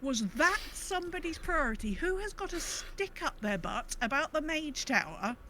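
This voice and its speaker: A woman's voice: sits high, big pitch swings, moderate pace, pushed and bright, slight background noise. A woman podcast co-host chatting casually about gaming, griping with emphatic, exasperated rhetorical questions.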